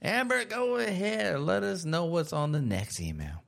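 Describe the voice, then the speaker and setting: A man's voice: high voice, big pitch swings, measured pace, gravelly, a bit bright. A man co-hosting a podcast in a casual, upbeat chat, often repeating his phrases. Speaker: podcast co-host.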